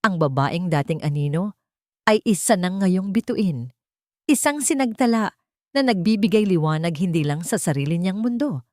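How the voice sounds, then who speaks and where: Female audiobook narrator, Filipino accent, slightly low voice, dry recording, earnest and measured dramatic storytelling. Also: darkish tone, no room sound.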